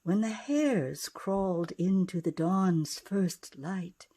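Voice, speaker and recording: A woman reading a rhyming picture book expressively, with dramatic delivery that dips into hushed tones. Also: lowish voice, takes it slowly. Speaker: author and narrator.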